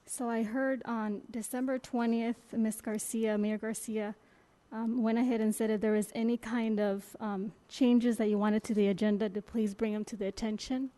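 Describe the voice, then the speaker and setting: A woman on a city council giving her comments at a public meeting, measured and plain, with some fillers. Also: on the high side, level tone, mellow. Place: council chamber.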